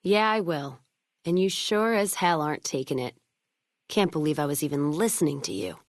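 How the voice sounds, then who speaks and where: Tough American woman caravan boss, low pitch, dry close sound, hard-drinking, sardonic, blunt, western video game character. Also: lively intonation, moderate pace, no echo. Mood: defiant, irritated. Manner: blunt, sardonic, profane frontier drawl with folksy turns of phrase. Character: hard-drinking, sharp-tongued woman caravan owner in a post-apocalyptic western.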